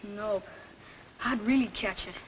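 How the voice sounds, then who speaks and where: A man's voice: sits high, measured pace. A boy actor playing a plucky, plain-spoken small-town American kid in a 1940s film. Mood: matter-of-fact. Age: child. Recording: muffled, faintly noisy 1940s film soundtrack.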